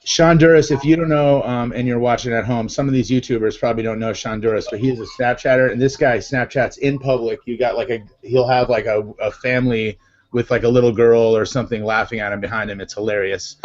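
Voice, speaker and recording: A man's voice: mid-range voice, fairly even intonation, fast. A man with a Massachusetts accent hosting a live video chat, upbeat and chatty with lots of fillers. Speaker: live show host. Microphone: video call. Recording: dull lo-fi video call audio.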